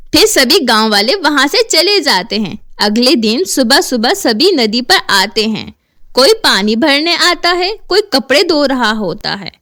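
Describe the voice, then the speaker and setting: High-pitched woman narrating a story. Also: sharp tone. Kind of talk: scripted storybook narration for animated horror tale.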